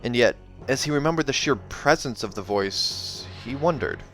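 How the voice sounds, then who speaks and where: A man's voice: mid-range voice, lively intonation, moderate pace, a bit bright. A man reading a fantasy novel aloud as dramatic scripted narration. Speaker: audiobook reader. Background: background music.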